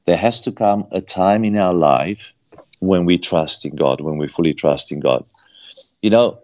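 Romanian-accented male guest, very low voice, earnest and repetitive, sermon-like delivery. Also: unhurried, a little mellow, highs cut off. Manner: earnest, repeats phrases for emphasis. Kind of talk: preaching-style interview answer.

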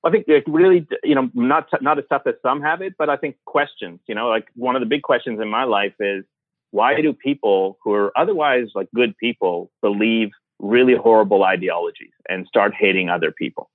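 A man's voice: mid-range voice, a little fast, no room sound. A man, a guest born in Iran and raised in Canada, answering interview questions thoughtfully with many fillers. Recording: tinny phone-like line.

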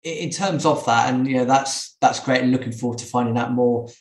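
A man's voice: medium pitch, brisk. A man hosting a podcast interview in a casual, conversational style. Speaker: podcast host. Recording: echoey, roomy sound.

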